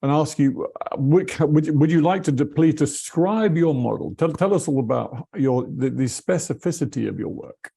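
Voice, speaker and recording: A man from England hosting a video call interview, halting and repeating himself as he asks questions. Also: a little high, gravelly, mellow, breathy edge. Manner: halting, repeats words, chuckles often. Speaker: interviewer, board member. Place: home in England.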